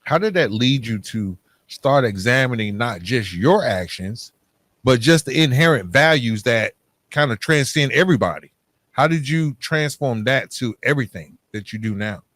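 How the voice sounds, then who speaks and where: A man's voice: medium pitch, animated, moderate pace, fairly bright tone, no echo. A man hosting a podcast, interviewing in a casual, informal and slangy way. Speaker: podcast host.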